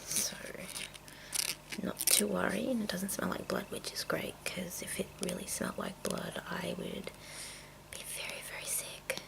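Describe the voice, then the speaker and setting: A woman murmurs through a rambling ASMR show-and-tell, mostly in a breathy whisper. When her voice comes through it is crackly with fry and a little low. She moves very slowly and haltingly from one thought to the next. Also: fairly even intonation. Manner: hushed, unhurried, halting. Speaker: ASMR video creator.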